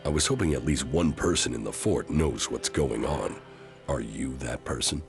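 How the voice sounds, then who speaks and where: A man playing a stoic, hardened commander in a post-apocalyptic video game, gravelly, terse and deadpan. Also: rumbling low, moderate pace, faint music behind.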